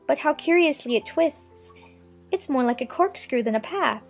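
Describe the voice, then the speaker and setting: A woman acts out a curious, well-mannered young girl from a children's storybook. Her voice sits high and she muses expressively to herself. Faint music plays behind her. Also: expressive, normal speed, gravelly. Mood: musing, puzzled. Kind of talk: dramatized children's audiobook acting.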